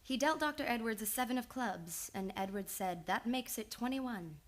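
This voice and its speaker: A woman playing a shrewd young psychoanalyst in an old-time radio drama, speaking in a measured, assured, matter-of-fact way. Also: a little high, animated, close to an everyday voice. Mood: calm, analytical. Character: composed, shrewd young female psychoanalyst confronting a killer.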